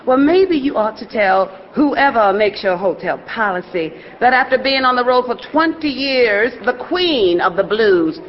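An actress playing a defiant, world-weary, profane blues singer with a sassy, sardonic manner in an audio drama. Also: female voice, a little high, lively intonation, unhurried, hardly any pauses. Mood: indignant, sarcastic. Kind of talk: theatrical audio drama acting, with some blues singing. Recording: dull, reverberant stage recording.

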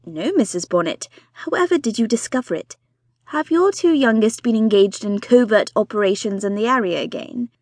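A woman voicing a weary, bookish husband and father of five who answers in a dry, teasing, deadpan way. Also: on the high side, normal speed, no room sound.

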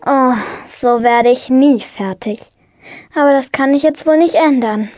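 Little storybook witch: very high, youthful voice, busy but kind, expressive delivery, dry studio sound. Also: a little slow, no echo, faint music behind. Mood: flustered, resigned. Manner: exclaiming, expressive. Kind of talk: children's audiobook acting.

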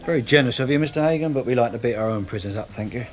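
An actor playing a brash, scruffy, wisecracking detective inspector with an English accent in a radio crime drama, blunt and colloquial. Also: male voice, mid-range voice, racing, soft background music, lightly acted. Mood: sardonic. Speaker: police detective inspector character.

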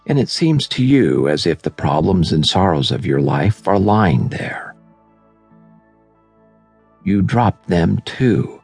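A man narrating a scripted guided meditation in a soothing, measured, unhurried manner. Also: low voice, big pitch swings, vocal fry, a little mellow, breathy edge, dry recording. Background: background music.

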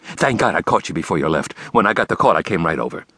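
A man's voice: deep voice, lively intonation, fast, bright, forceful tone, slightly rough, dry recording, studio quality, full character voice. A man doing a flamboyant, fussy school principal. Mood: fretful. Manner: theatrical, fussy, indignant. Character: bouncy flamboyant fortyish male school principal.

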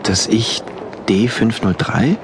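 A man playing an orderly, wonderstruck engineer who narrates his diary of a regimented future in measured, deliberate phrases, in a radio play. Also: lowish voice, noisy background. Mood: fascinated. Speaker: narrator of an audio drama. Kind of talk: literary first-person narration in a radio play. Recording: music behind the voice.

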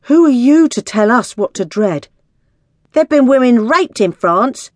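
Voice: mid-range voice, fairly quick, no echo, studio quality, close to an everyday voice. An audiobook narrator voicing blunt, indignant islander women with a scolding, colloquial manner. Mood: outraged. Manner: scolding, colloquial tag questions.

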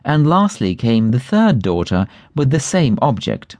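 A man's voice: medium pitch, big pitch swings, unhurried, darkish tone, dry recording. A man narrating a fairy tale audiobook in a scripted storytelling style.

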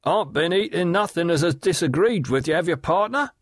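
A man voicing a leering, lowborn male river scavenger who talks in a coaxing, slangy way. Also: animated, fairly quick, no echo, clean studio sound. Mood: wheedling.

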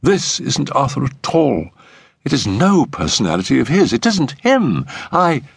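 A man's voice: mid-range voice, huge pitch swings, unhurried, creaky, breathy edge, no room sound, clean studio sound, voice pushed up, heavily acted. A man voicing an impulsive, distressed young woman in an audiobook story.

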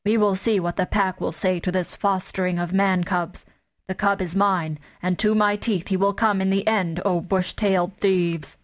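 A woman voicing a furious, boastful male tiger who speaks slowly and threateningly in a storybook reading. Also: mid-range voice, fairly even intonation, close to an everyday voice. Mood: menacing.

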